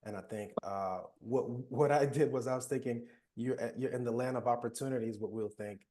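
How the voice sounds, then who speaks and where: A man speaking as a panelist, reflecting in a soft-spoken way. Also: medium pitch, brisk. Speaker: panelist, mental health professional. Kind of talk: panel discussion.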